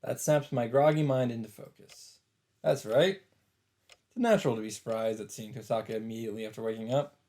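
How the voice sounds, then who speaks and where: A man's voice: mid-range voice, brisk, a little mellow. A man reading visual novel text aloud as casual narration, dropping in his own asides.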